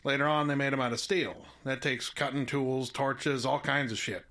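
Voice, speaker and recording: A man's voice: mid-range voice, fairly even intonation, normal speed, bright, forceful tone, close to an everyday voice. A man acting in an audio drama as a folksy, plain-spoken small-town contractor. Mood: folksy, apologetic. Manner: folksy, easygoing, colloquial.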